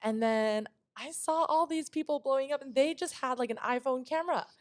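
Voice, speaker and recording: A woman's voice: high voice, fairly quick. Giggly, chatty young woman. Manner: chatty, giggly, filler-heavy. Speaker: guest vlogger. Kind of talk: casual podcast chat.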